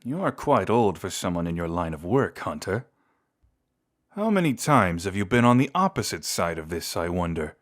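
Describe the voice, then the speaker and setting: Man playing a low-voiced, theatrical vampire lord. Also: very animated. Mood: taunting, gloating. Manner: theatrical, deliberate, taunting yet intimate. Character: seductive, menacing vampire lord, proud and secretly tender toward lost love. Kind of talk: scripted audio roleplay addressed to the listener.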